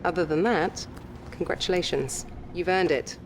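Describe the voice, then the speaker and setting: An actress playing a composed, businesslike sports agent in a game cutscene, measured and reassuring as she advises a client. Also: on the low side, slight background noise, lightly acted. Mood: pleased, businesslike. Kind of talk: scripted game cutscene dialogue.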